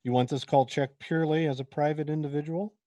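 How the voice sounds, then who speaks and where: Radio thriller chief operator, played by a woman: dark, mellow voice, bureaucratic, unruffled, formal. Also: sits low, steady tone, quick pace, close to an everyday voice. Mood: polite, firm. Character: calm, officious chief telephone operator. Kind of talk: old-time radio thriller acting.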